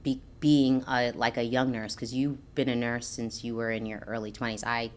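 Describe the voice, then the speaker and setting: A woman's voice: a bass voice, little pitch movement, normal speed. A woman co-hosting a podcast as a nurse, talking casually in an earnest, encouraging manner. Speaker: podcast co-host, registered nurse. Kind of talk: casual conversational podcast talk.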